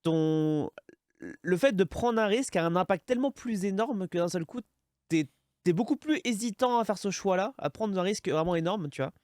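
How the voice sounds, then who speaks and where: A man in his thirties with a French accent, talking in a loose, chatty way full of restarts. His voice sits a bit high, and the recording is dry and close with no room echo. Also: animated, moderate pace, a little mellow. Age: 30s. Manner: chatty, rambling, many restarts and "tu vois". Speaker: podcast host. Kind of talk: casual interview chat.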